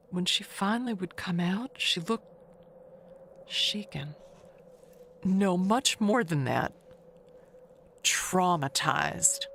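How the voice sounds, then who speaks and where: A woman playing a gossipy, wistful, never-married older neighbor who confides with pointed pauses and hushed asides in an audio mystery monologue. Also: lowish voice, creaky, a little hiss or hum, full character voice. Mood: grave, hushed.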